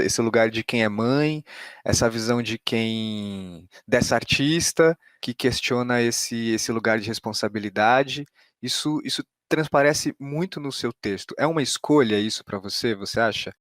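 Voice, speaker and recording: Man, Brazilian accent, mid-range pitch, dry room-free sound, podcast interviewer, tentative, searching phrasing. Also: normal speed, no echo. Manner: tentative, searching, frequent restarts. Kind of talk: conversational interview.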